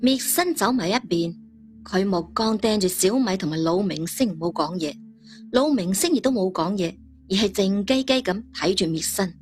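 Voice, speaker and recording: A woman's voice: medium pitch, lively intonation, normal speed, a little mellow, faint music behind. Woman telling a story. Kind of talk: dramatic audiobook-style story narration.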